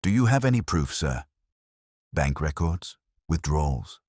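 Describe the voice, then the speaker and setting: A man performs a police sergeant from a mystery audiobook, deferential and questioning. His low voice lifts and dips in a lively way as he voices doubts, recorded dry and close. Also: unhurried, no echo, full character voice. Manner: questioning, respectful.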